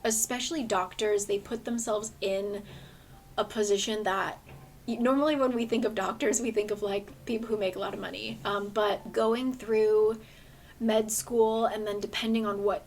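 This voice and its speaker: A woman with a somewhat hoarse voice, chatting earnestly about books in a casual vlog, full of rambling asides. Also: mid-range voice, fairly even intonation, normal speed, darkish tone, a little hiss or hum. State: hoarse, voice gone a bit. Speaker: book vlogger. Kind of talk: casual book review chat.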